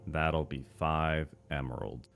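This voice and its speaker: Weary cartoon shopkeeper, extremely slow, gravelly, droning, resigned, clipped. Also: male voice, extremely low pitch, steady tone, a bit bright, faint music behind, full character voice. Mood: depressed, dutiful. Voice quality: droning, Squidward-like tone. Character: gloomy, weary male shopkeeper forcing out some pep. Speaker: voice actor recording takes. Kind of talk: animated cartoon voice acting.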